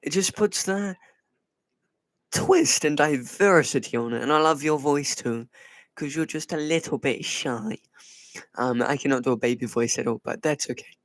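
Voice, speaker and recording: A man's voice: on the high side, moderate pace, no echo. Playful man with a light, dry voice. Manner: playful, teasing, answers chat; soft, light put-on voice. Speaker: livestream host. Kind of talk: casual live chat with viewers.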